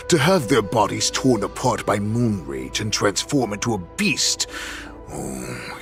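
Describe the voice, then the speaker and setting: Very deep, gravelly wolfish warlord. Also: male voice, heavily acted. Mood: exultant. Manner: menacing, theatrical, savoring each phrase. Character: cruel, gloating wolfish warlord villain, savoring others' despair. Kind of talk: dramatic video game voice acting.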